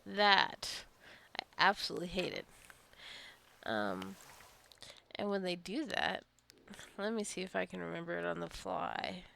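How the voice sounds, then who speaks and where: A woman's voice: on the low side, animated, a little slow, creaky, pauses often, bright, forceful tone, slight background noise. A woman giving casual, rambling commentary while playing a game, jokingly scolding her characters and sounding a little tired. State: tired, wants coffee. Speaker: gameplay streamer.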